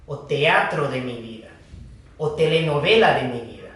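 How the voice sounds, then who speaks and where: A man who is a Buddhist teacher, giving a dharma talk in a slow, halting manner with pauses and fillers. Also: on the high side, lively intonation, fairly bright tone. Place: hall of a foundation. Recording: echoey room, distant mic.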